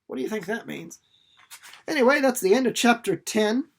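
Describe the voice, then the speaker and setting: A young man with a fairly high voice reads a chapter aloud and talks it over. His delivery is casual and chatty, as if thinking out loud for his listeners. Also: lively intonation, normal speed. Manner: informal, chatty, musing aloud. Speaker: chapter reader and commentator. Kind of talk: storybook read-aloud with casual commentary.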